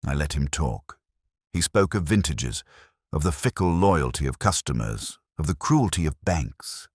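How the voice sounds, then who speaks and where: A man playing a melancholy police inspector, narrating his case in measured, literary, introspective prose for an audio drama. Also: very deep voice, big pitch swings, breathy edge, no room sound, clean studio sound, exaggerated voice. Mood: brooding, reflective. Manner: measured, literary, brooding delivery. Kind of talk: scripted first-person detective audio drama narration.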